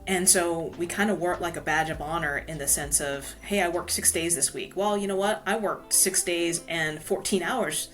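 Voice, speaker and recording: A woman's voice: on the low side, normal speed, few pauses, a bit bright, soft background music. A woman from Hawaii, a guest author telling her story in a casual podcast interview, filling her talk with "you know". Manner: chatty, many "you know" fillers.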